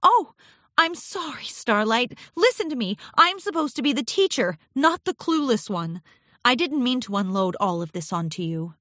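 A woman voicing an anxious, eager young pony princess in a children's audiobook, talking in a nervous, gushing, halting way. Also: medium pitch, lively intonation, a bit bright, no echo, clean studio sound, natural voice. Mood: apologetic.

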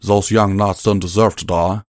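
A man voicing a rough-spoken young male pony with a drawl, strained and emotional. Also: lowish voice, normal speed, darkish tone, dry recording, studio quality, natural voice.

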